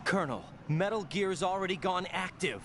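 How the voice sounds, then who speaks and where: An actor playing an earnest, hot-headed young special agent, questioning and defiant, in a dramatic video game. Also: male voice, high-pitched, moderate pace, a bit bright, slight background noise. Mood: questioning, tense.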